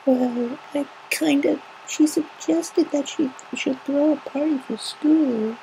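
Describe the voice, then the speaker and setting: A man voicing a shy young female pegasus pony who speaks softly, hesitantly and in a mumble. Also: extremely high pitch, steady tone, takes it slowly, gravelly, a little hiss or hum, voice pushed up, exaggerated voice, rough recording. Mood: timid, apologetic. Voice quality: soft, mumbling. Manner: hesitant, whimpering, trailing off.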